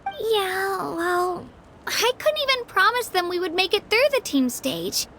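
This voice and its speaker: A woman voicing a sweet, timid young girl adventurer in an anime game, with a soft girlish voice. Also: very high-pitched, expressive, a little slow, a little hiss or hum, full character voice. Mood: downcast. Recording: music behind.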